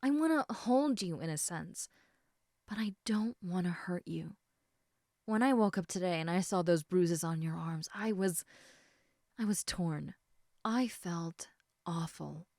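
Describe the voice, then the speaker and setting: A woman playing a caring, slightly snarky snake woman in an intimate audio roleplay, speaking in a soft, hesitant conversational murmur that dips into whispers. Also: lowish voice, measured pace, pauses often, no room sound, clean studio sound. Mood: remorseful, tender.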